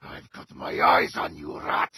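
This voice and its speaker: A man voicing a vengeful, wrathful militant commander in a video game, gravelly and commanding, delivering menacing combat lines. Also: a little slow, pushed and bright. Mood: cold menace. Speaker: playable game character.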